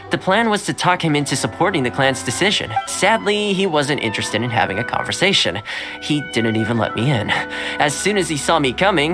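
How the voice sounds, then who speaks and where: An actor playing a kind, chatty young male servant who loves stray animals, speaking gently and conversationally in a video game. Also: fairly high pitch. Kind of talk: video game voice acting, storytelling dialogue.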